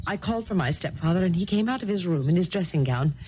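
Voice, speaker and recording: An actress playing a frightened young heiress in a 1970s radio drama, fearful, earnest and hesitant. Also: female voice, on the low side, lively intonation, quick pace, highs cut off, a little hiss or hum, close to an everyday voice.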